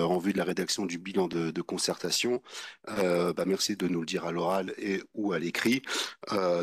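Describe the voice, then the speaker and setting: A man's voice: sits low, dry recording, studio quality. A man giving practical announcements as meeting moderator, in hesitant, stop-start phrasing. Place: indoor public meeting. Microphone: meeting microphone.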